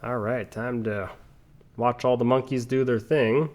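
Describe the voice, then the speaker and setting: A man's voice: medium pitch, steady tone, measured pace, darkish tone. A man giving casual, rambling commentary over a video game playthrough, chatting with playful asides. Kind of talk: casual gameplay commentary with tangents.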